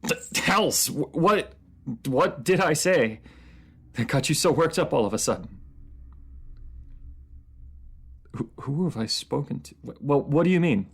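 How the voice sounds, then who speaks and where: Man acting as a gravelly, proud captive fantasy ruler. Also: a little high, lively intonation. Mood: flustered, confused. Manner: halting, stammering when flustered, formal fantasy diction. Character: captive enemy ruler, proud and bitter former mercenary, male adult. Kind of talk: dramatic fantasy audio roleplay.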